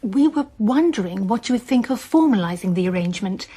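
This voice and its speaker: An actress playing an affectionate, gently coaxing well-to-do Victorian mother in a radio drama. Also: mid-range voice, lively intonation, a little fast, breathy edge. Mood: tentative. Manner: genteel, coaxing.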